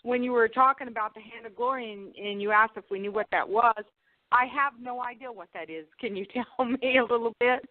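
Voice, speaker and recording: A woman's voice: on the high side, a little fast, no room sound, phone-quality sound. A woman co-hosting a casual interview, chatting and asking questions in a conversational way. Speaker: co-host interviewer.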